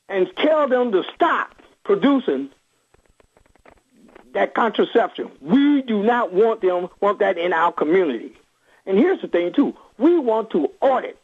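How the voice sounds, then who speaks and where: A man's voice: high-pitched, gravelly, slightly rough. A man speaking as an activist reverend and radio call-in guest, impassioned and emphatic, repeating phrases for force over a phone line. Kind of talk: impassioned political interview talk.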